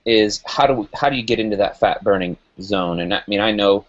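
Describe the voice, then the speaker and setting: A man's voice: lowish voice, fairly quick, dull audio. A man in his late thirties co-hosting a health show, talking in a chatty, loose and rambling way. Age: 30s. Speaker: co-host. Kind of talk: casual chat.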